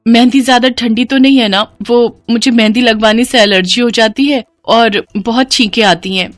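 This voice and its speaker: A woman voicing an anxious, complaining young bride-to-be in an animated cartoon story. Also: fairly high pitch, moderate pace, dry recording, soft background music, pitched above the performer's own voice, lightly acted. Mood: polite, uneasy. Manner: fretful, complaining.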